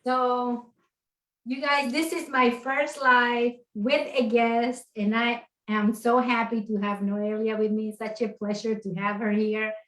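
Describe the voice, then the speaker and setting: Higher-voiced woman host in an echoey room. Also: level tone, measured pace. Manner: warm, encouraging, affirming. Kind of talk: casual live-stream interview. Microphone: video call.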